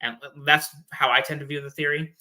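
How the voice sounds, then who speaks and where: Very bright, forceful man explaining casually. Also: a little high, steady tone, fairly quick. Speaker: guest theorist on a fan discussion show. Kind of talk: casual chat explaining fan theories.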